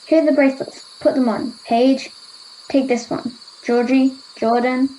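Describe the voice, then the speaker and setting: A woman's voice: high voice, fairly even intonation, a little slow, dark tone, slight background noise, poor audio. An Australian girl of ten or eleven playing a plucky, defiant girl from the past in an amateur radio play. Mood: urgent. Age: child, 10 to 11. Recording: home video-call recording.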